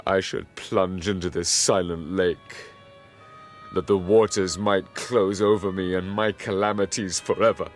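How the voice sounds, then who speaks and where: A man's voice: low voice, little pitch movement, measured pace, soft background music. An actor playing a guilt-ridden young scientist telling his tale in a grave, theatrical audiobook drama. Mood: despairing dread.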